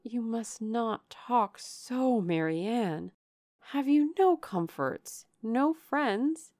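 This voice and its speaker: A woman's voice: a little high, lively intonation, very slow, a little mellow, no echo, clean studio sound, voice pushed up. A woman narrator voicing a grave, caring sister in a classic novel, restrained, earnest and urging. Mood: earnest consoling. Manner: solemn, urging.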